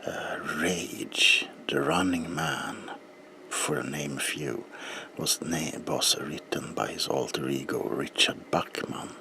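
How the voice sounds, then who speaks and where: A man speaks in a very deep, gravelly half-whisper. He takes his time, unhurried and deliberate, playing a polite bookseller in an ASMR roleplay. The delivery is breathy and attentive, as if serving one customer. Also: background noise.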